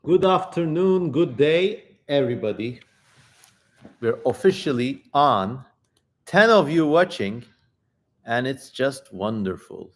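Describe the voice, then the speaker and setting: A man's voice: mid-range voice, expressive, slow. A man hosting a livestream in casual chat, effusive and repeating words for emphasis as he talks to viewers. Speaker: livestream host.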